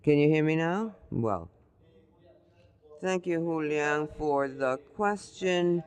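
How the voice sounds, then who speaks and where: A man answering a question as a conference panelist, halting and full of "uh" fillers. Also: on the high side, animated, smooth. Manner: slow and halting, with many uh fillers.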